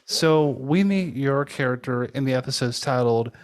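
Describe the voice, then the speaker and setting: A man co-hosting a conversational interview, speaking in a halting, tentative way. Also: mid-range voice. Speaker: podcast co-host interviewing a guest.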